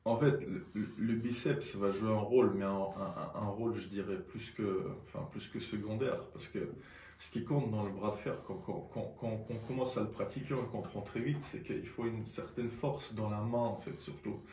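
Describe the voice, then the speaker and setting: A man's voice: fairly low pitch, steady tone, normal speed, a little mellow. A man explaining as a sports guest in an interview, often repeating words as he goes. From born in Siberia, moved to France aged 10. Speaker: arm-wrestling athlete, radio guest. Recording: roomy sound with faint noise.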